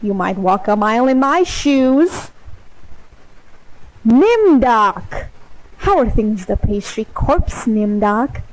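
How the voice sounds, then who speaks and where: A woman voicing a hateful, sadistic godlike supercomputer with a teenage god complex, taunting in a deliberate, mock-sweet dramatic monologue. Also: a little high, very animated, poor audio. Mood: sneering. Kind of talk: dramatic monologue, fan voice acting of game lines.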